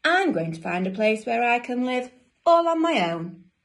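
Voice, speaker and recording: A woman's voice: mid-range voice, lively intonation, slow. A woman voicing a grumpy young male storybook penguin, indignant and emphatic. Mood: fed up.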